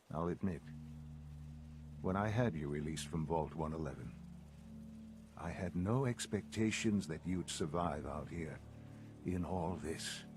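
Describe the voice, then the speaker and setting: Deep-voiced, measured elderly leader. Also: male voice, darkish tone, slight background noise. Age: older. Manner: deliberate, formal, reflective, with weighty pauses. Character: elderly, measured, coldly cerebral male leader of secretive institute. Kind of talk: dramatic video game dialogue.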